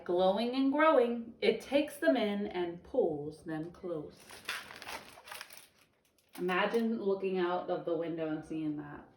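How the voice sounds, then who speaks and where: A young woman teacher reading a picture book aloud to children, stopping for chatty explanations. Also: mid-range voice. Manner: expressive storybook reading broken up by chatty explanations. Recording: echoey room.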